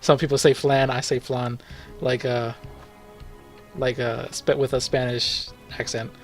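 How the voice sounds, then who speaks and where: Relaxed male gaming host, mid-range voice, chatty and meandering, faint background music. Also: medium pitch, measured pace. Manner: rambling, relaxed, frequent fillers and self-corrections, chuckles. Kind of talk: casual gameplay commentary.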